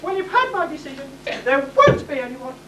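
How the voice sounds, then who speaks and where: A woman's voice: high voice, sing-song, moderate pace. A woman in an amateur stage pantomime playing a haughty, stubborn young princess in an imperious, exclaiming manner. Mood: sulky. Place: hall stage, distant microphone. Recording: echoey distant hall, faint noise.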